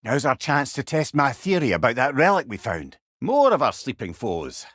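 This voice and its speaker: An actor playing a wry, clever older male storyteller companion in a video game, with a Scottish accent and a droll, expressive manner. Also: medium pitch, normal speed, no echo, studio quality. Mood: wry, musing. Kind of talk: video game character dialogue.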